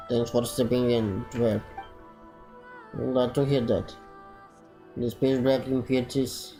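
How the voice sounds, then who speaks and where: A man streaming a game reads its dialogue aloud in a slow, mellow voice. He halts and repeats phrases, with faint game music behind him. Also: mid-range voice. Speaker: gaming streamer. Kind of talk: reading game dialogue aloud during a playthrough.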